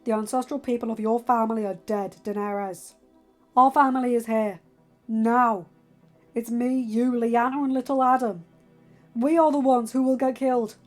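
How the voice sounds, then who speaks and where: A woman's voice: fairly high pitch, steady tone, moderate pace, soft background music, lightly acted. Woman voicing a stern, exasperated young lord. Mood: angry, firm. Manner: forceful, emphatic, pleading with reason. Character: stern, exasperated young lord and father, protective, weary of war.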